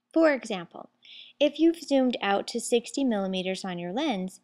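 A woman with a somewhat high voice narrates a short photography tutorial. She takes the listener through each step plainly and evenly, in a polished voice-over read. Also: a little mellow. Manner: clear, step-by-step instructional delivery. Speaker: photography instructor.

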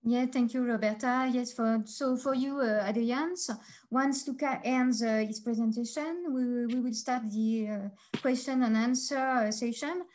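Higher-voiced woman co-host, hesitant. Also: a little slow, poor audio. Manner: hesitant, fillers, trailing off softly. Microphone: video call.

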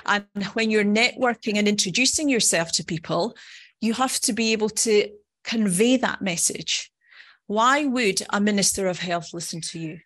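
A woman's voice: mid-range voice, normal speed, a bit bright. A middle-aged woman from Scotland with a Scottish accent, giving a personal conference talk in a conversational, earnest manner. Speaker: nursing professor, conference speaker.

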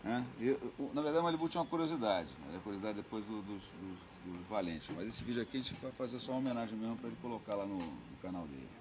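A man's voice: lowish voice, lively intonation, fast talker, few pauses, background noise. A man in his forties casually introducing his father for a tribute, with frequent fillers. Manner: friendly, filler-heavy, frequent "né?". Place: outdoor garden.

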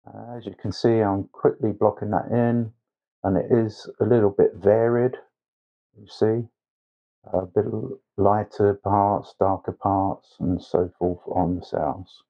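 A man narrating a painting tutorial step by step as a tutor, unhurried and with hesitant pauses. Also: lowish voice, steady tone, narrow, tinny audio. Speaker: watercolour tutor.

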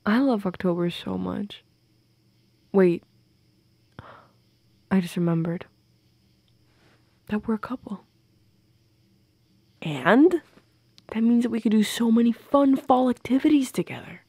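Soft, creaky-voiced young woman, playfully intimate. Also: mid-range voice, slow pace, pauses often, dry recording. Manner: playful, affectionate, teasing, soft and breathy. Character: playful, affectionate goth girlfriend. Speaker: audio roleplay performer playing a girlfriend. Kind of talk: intimate girlfriend audio roleplay, ASMR-like. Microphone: close microphone, dry.

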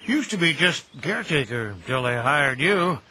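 High-voiced, folksy old caretaker. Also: male voice, sing-song, normal speed, sharp tone, a little hiss or hum, heavily acted. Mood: genial, chatty. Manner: folksy, chatty, joking. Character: cheerful, folksy older cemetery caretaker, chatty and kindly. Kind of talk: audio drama acting.

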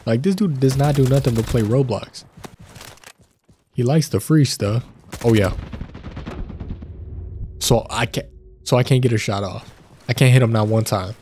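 A man with a stuffy nose from a cold, hosting casual gameplay commentary, chatty and prone to excited outbursts. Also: mid-range voice, measured pace, long pauses, slight background noise. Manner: chatty, addresses viewers as fellas, excited outbursts. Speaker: gaming channel host. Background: faint game gunfire.

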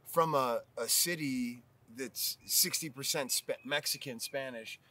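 A man in his thirties chatting casually outdoors, halting and self-deprecating with many restarts. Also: medium pitch, sharp tone. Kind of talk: casual chat. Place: outdoors on a hillside terrace.

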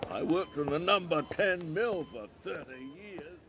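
An actor playing a sardonic, griping old man in an audio drama, with a gravelly voice and a salty, teasing manner. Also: fairly high pitch, unhurried, over loud music. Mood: indignant. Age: older. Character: cantankerous, sardonic old male bookseller in hospital.

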